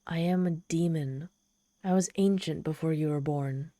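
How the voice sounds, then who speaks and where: Ancient demon woman, played by a woman for ASMR audio drama: lowish, velvety dark voice, proud and theatrical with a vulnerable streak. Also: normal speed, no echo, clean studio sound, natural voice. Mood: proud, conceding. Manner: haughty, theatrical, softening into shy vulnerability. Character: ancient proud tsundere demon woman, lonely, haughty, teasing. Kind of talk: ASMR audio roleplay, addressing the listener.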